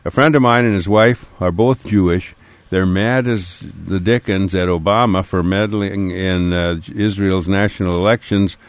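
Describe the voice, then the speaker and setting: An American man co-hosting casual political talk radio, speaking in a halting way with frequent uhs. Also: deep voice, fairly even intonation, no echo. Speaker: radio co-host. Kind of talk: casual political talk, reading listener mail.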